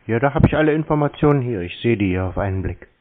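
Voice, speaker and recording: A man in a terse, deadpan phone conversation, giving stubbornly obtuse answers. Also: medium pitch, fast, slight background noise. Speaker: scam-baiter taking calls from telephone scammers. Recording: narrow tinny phone-like sound.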